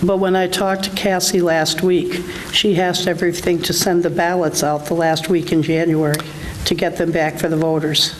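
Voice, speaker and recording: A board member at a town meeting, pressing questions to get clarity. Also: male voice, a little high, level tone, normal speed, a little hiss or hum, low-quality recording.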